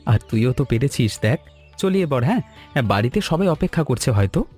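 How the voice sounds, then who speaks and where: A man voices an affectionate young man home in his village, in a cartoon story. His pitch moves in lively swings within a mellow, mid-range voice, over light music. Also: a little fast, close to an everyday voice. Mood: cheerful, fond. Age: young adult. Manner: affectionate, familiar.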